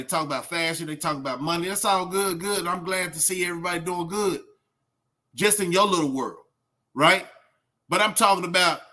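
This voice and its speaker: A man with a high, bright, forceful voice and a Southern US accent. He talks like a streamer reacting to a clip, casual and colloquial. He pushes his points with emphasis and rhetorical questions. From southern United States. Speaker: YouTube reaction host.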